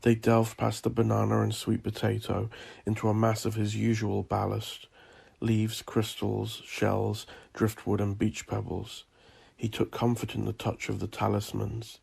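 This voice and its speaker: A man reading dark horror fiction as a narrator, in a brooding, ominous and theatrical manner. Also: lowish voice, completely flat delivery, unhurried, vocal fry, slightly rough. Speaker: narrator of a horror audio drama. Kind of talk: dramatic gothic scripted narration.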